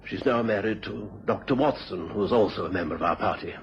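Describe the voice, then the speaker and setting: An actor playing a commanding, titled country gentleman with an upper-class English accent in an old radio drama. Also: on the low side, fast, lightly acted. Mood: stern, menacing. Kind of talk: mid-century British radio drama acting. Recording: dull, faintly noisy old radio recording.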